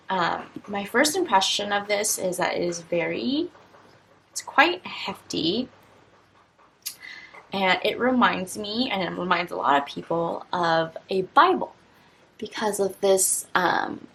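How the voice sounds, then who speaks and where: A woman in her twenties, a teacher, talking through a stationery unboxing in a relaxed, rambling way full of fillers and restarts. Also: medium pitch, slow pace, gravelly, slightly rough, low-quality recording. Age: 20s. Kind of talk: casual unboxing vlog.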